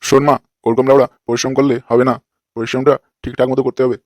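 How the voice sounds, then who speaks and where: A man's voice: medium pitch, flat delivery, fast, darkish tone, no room sound, clean studio sound, natural voice. A man voicing a crude, lecherous fake holy man in a comic cartoon, with a brash, scolding manner.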